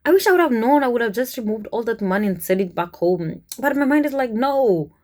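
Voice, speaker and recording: A woman from Kenya with a Kenyan accent, telling a personal story to camera in a casual, animated way. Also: a little high, fairly quick. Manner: animated, chuckles often, conversational. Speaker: vlogger, cruise ship worker.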